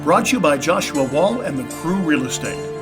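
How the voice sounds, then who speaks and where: A man's voice: on the high side, sing-song, normal speed, over music. A man playing a courteous, curious announcer who hosts an audio drama in old-time radio style. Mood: presenting. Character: courteous, curious male announcer visiting the storyteller. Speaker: announcer and guest narrator.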